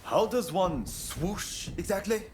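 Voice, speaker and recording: A man's voice: sits high, animated, slow pace, background noise. A man playing a hot-headed, impatient samurai rebel in a video game cutscene. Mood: impatient, scornful.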